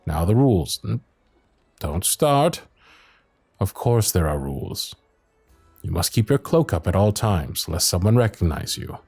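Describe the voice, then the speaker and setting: Music plays behind a male actor as a devoted royal knight in a fantasy audio roleplay. His lively voice swings widely in pitch. He is protective and exasperated, with a teasing streak and courtly turns of phrase. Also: unhurried, pauses often, breathy edge, heavily acted. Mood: resigned, firm. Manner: old-fashioned courtly phrasing, teasing and earnest. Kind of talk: ASMR roleplay acting, fantasy audio drama.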